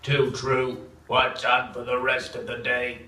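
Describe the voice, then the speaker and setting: A man playing a dry, long-suffering, sarcastic supercomputer sidekick in a live stage comedy. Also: medium pitch. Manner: deadpan, sarcastic, drawn-out. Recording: echoey, distant, noisy theatre with clicks.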